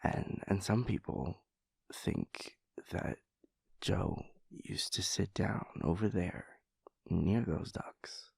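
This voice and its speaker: Gravelly, breathy, drowsy boyfriend played by a man. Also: low-pitched, darkish tone, no room sound, full character voice. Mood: sleepy mumbling. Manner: halting, mumbling, playfully pompous wordy rambling. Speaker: audio roleplay performer. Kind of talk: intimate audio roleplay, half-whispered comic monologue.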